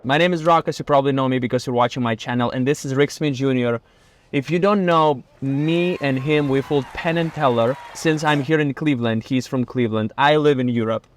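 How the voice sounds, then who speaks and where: A man's voice: mid-range voice, a little fast. A young man with a Lithuanian accent, a magician hosting a casual chat in a car. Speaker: magician and channel host.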